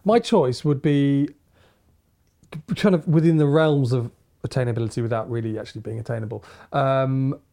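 Young bloke, car expert guest, mellow voice, dry teasing humour. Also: male voice, mid-range voice, moderate pace, creaky. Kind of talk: casual chat.

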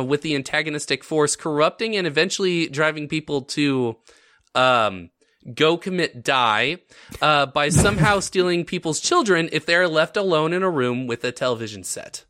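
A man with a bright, forward, slightly high voice hosts a casual podcast. He explains his subject in halting stretches and breaks into wry asides. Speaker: podcast host leading the episode.

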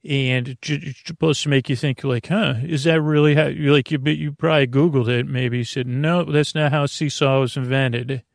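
A man hosting a bedtime podcast in a rambling, halting monologue, over-explaining and trailing off with a creaky, gravelly voice. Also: mid-range voice, fairly even intonation, no room sound, studio quality. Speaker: podcast host.

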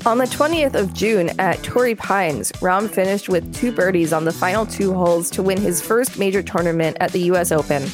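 Female co-host, article narration plus light joking banter, music clearly playing behind her. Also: lowish voice, moderate pace, hardly any pauses. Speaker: podcast co-host reading an article aloud. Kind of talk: read-aloud narration with casual banter.

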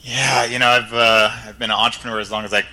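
A man who grew up in the Netherlands and California, a guest on a podcast, talking in a relaxed, hesitant way with many fillers and restarts. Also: medium pitch, quick pace, rough recording. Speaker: guest entrepreneur. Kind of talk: casual interview.

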